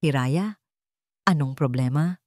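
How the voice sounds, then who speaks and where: A woman with a Filipino accent voicing a gentle, devoted male paramedic, tender and reassuring, in a love story. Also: low voice, slow, no echo, studio quality. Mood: concerned.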